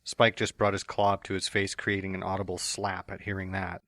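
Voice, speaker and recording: A man narrating a fan story in a plain, even storytelling manner. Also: sits low, fairly quick, no room sound, studio quality. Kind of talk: fan dramatic reading of a story.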